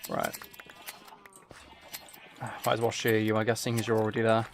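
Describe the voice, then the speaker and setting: A man casually commentating on his game and chatting to viewers, muttering along in a low-key way, close to the mic. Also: wildly swooping pitch, moderate pace, vocal fry, a little hiss or hum. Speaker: streamer playing a game while chatting with viewers.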